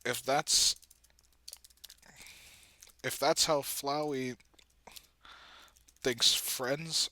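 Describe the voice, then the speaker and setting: Male video creator, bright crisp delivery, dry close sound, casual screen-recording chatter, self-deprecating. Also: medium pitch, fairly even intonation, drawn-out words, pauses often, no echo. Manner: self-deprecating, muttering asides while typing. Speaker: video creator, comic dub editor. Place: indoors at a computer.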